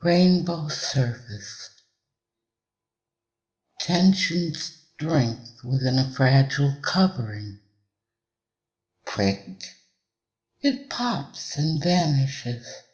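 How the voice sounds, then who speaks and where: A man delivering a hypnotic induction in a measured, deliberate way, with long pauses between short phrases. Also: a little high, animated, darkish tone, low-quality recording.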